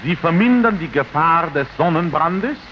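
A man announcing a product in an old radio advertisement, declaiming in a measured way. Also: fairly high pitch, expressive. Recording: dull 1930s archive radio recording with faint noise.

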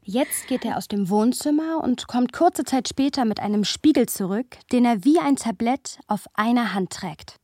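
An actress playing a reflective, wry first-person narrator in a scripted literary radio play. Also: female voice, a little high, lively intonation, normal speed, hardly any pauses, warm tone, no room sound, clean studio sound.

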